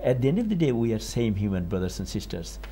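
An older man from Bangladesh, speaking as an author on a TV panel in a studio, measured and rising for emphasis. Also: on the low side, big pitch swings, dark tone, a little hiss or hum. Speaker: author guest. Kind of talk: TV panel discussion. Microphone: lapel.

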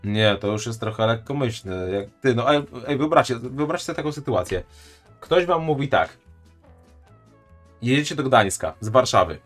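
A man's voice: medium pitch, lively intonation, moderate pace, faint music behind. A man live-streaming his reaction to a video, chatting and joking with his viewers. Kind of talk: casual live-stream commentary.

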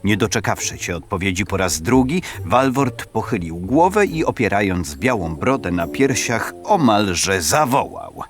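A man narrating an audio drama as a storyteller, with measured, expressive literary delivery. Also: lowish voice. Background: background music.

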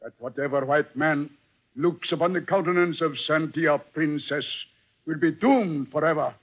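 A man's voice: a little high, normal speed, close to an everyday voice. An actor playing a stern, accusing king and father in a vintage radio drama, speaking in solemn, pompous, formal phrases. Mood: stern, grave. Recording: dull old-time radio recording.

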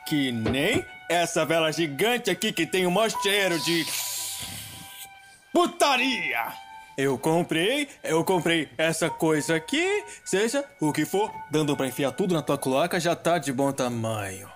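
Man acting small demon imp, Brazilian accent, high voice, crude, emotional, adult cartoon, music underneath. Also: big pitch swings, a little slow, a bit bright. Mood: brash, teasing. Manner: brash, foul-mouthed, emotional.

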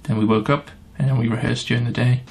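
Young bloke chatting to camera, dry self-mocking, bit of room noise. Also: male voice, mid-range voice, fairly even intonation, fast talker, a little mellow. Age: 20s. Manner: wry, self-deprecating. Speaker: amateur pantomime performer. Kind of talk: casual chat to camera. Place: small room indoors. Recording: clearly noisy room sound.

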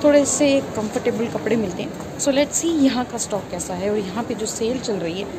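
A woman's voice: on the high side, moderate pace, background noise. A woman, chatting casually as she walks through a shopping mall, filming on a phone held at arm's length. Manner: chatty, relaxed walkthrough. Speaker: vlogger. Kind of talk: casual vlog narration.

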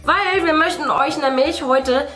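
Female craft-channel host, middle-aged, fairly high, casual explainer for kids, faint music underneath. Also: measured pace. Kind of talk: casual explaining to young viewers. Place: indoor room, seated at a craft table.

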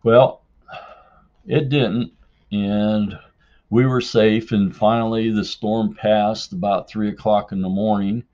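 A man telling a personal story casually in an unhurried, folksy way, as in a listener's voicemail. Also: on the low side, steady tone, warm tone. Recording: dull, lo-fi voicemail-like sound.

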